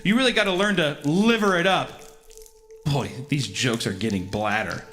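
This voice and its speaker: A man's voice: fairly high pitch, normal speed, fairly bright tone, faint music behind, lightly acted. A man voicing a gleeful, cruel young female cartoon pony in a playful sing-song way. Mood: jokey.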